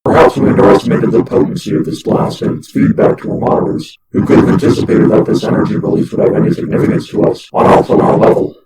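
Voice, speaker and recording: A man's voice: fast talker, hardly any pauses, distant mic, noisy background. A man voicing a cold, detached demon scientist in charge, formal and clinical, with a distorted effect.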